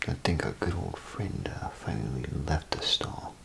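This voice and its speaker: A man speaking in a hushed, half-whispered, casual way in a soft-spoken ASMR role play as an attendant. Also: rumbling low, very animated, moderate pace, vocal fry, over music. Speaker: ASMR performer playing a bathroom attendant.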